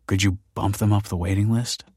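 A man's voice: fairly low pitch, flat delivery, brisk, a little mellow, no room sound, studio quality, close to an everyday voice. A man playing an earnest, protective father and husband in an audiobook, speaking insistently. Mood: earnest, persuading.

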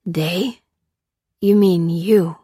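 A woman's voice: fairly low pitch, steady tone, very slow, warm tone, husky, no echo, clean studio sound, full character voice. A woman voicing an exhausted, sick male major held prisoner in an audiobook, slurring and struggling over words in pain. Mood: defeated. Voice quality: slurred, effortful. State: weak and in pain.

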